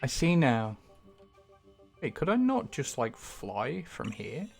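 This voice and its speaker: A man's voice: mid-range voice, very animated, slow pace, vocal fry, soft background music. A young man chatting casually while streaming a game at home, rambling and self-deprecating with the odd swear. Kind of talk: casual gaming stream chat.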